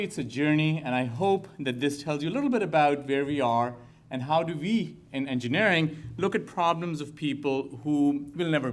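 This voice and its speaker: A man from Pakistan, a professor, giving a public talk in a lecture hall with earnest, slow and emphatic phrasing. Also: medium pitch. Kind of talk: public talk to a live audience.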